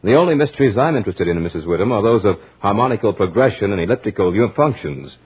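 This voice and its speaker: An actor playing a self-assured, studious young gentleman narrating his own tale in measured, literate 1940s radio drama style. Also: fairly low pitch, expressive, husky, low-quality recording. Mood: composed, amused.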